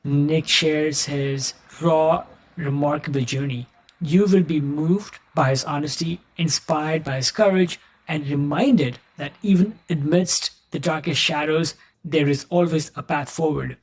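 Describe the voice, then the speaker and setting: A man's voice: on the high side, unhurried, poor audio. Earnest podcast host greeting his friends. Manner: earnest, encouraging, addresses listeners as friends. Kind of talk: scripted intro then interview questions.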